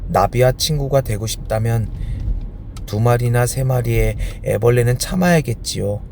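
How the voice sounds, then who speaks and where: A vain storybook flower, voiced by a male reader, speaking in a raised, delicate voice. She is haughty and insistent, with a tender heart under the pride, and clear noise runs behind her. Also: medium pitch, normal speed, a little mellow, pitched above the performer's own voice, close to an everyday voice. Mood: proud, wistful.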